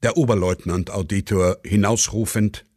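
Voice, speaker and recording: A man's voice: on the low side, fairly even intonation, normal speed, darkish tone, no room sound, studio quality. A man reading scene headings and stage directions as the narrator of a scripted radio drama.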